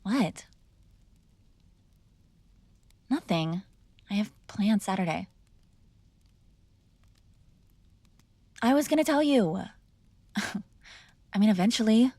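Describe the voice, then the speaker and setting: A woman's voice: mid-range voice, expressive, measured pace, darkish tone, no room sound. A woman playing a mob boss's spoiled, bratty young adult daughter in an intimate roleplay, speaking casually with sarcastic, exasperated argument. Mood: evasive, playful. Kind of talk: intimate ASMR roleplay acting, one-sided conversation.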